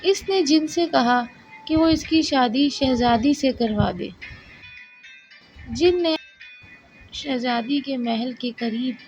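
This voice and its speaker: High-pitched woman narrating a children's story, unhurried. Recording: slightly dull, lo-fi narration track over music. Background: soft background music.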